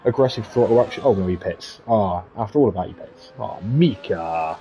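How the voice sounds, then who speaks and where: A man's voice: fairly low pitch, animated, moderate pace, over music. A man giving live, chatty commentary on an online racing league, upbeat with playful asides. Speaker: esports race commentator.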